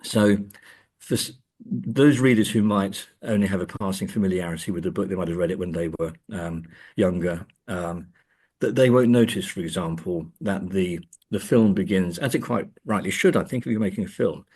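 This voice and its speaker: Male academic interviewee: very low register, slightly rough husky tone, halting, word-repeating delivery. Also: darkish tone. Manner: halting, repeats words as he thinks. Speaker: academic and author being interviewed. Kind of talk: interview discussion of film adaptations.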